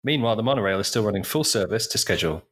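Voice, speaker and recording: A man putting on the voice of a calm, official male train-line announcer, in a mock-formal announcing manner. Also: lowish voice, racing.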